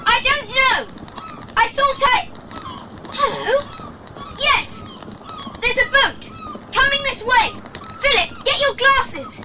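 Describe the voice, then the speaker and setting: A woman playing a bold, quick-thinking British boy adventurer, urgent and decisive, in a children's audio drama. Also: very high-pitched, wildly swooping pitch, very noisy, heavily acted. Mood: alert, excited.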